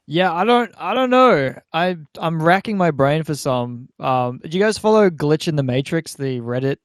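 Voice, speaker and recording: A man's voice: a little high, lively intonation, moderate pace, dry recording, clean studio sound. A young man hosting a casual chat with bantering ease. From Australia. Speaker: podcast host.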